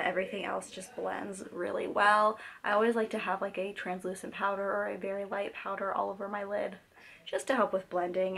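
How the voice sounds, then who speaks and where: Hoarse, chatty young woman vlogger. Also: mid-range voice, a bit bright. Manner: chatty, rambling, many fillers and restarts. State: hoarse from a cold. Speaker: beauty vlogger. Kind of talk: casual makeup tutorial chat. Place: home.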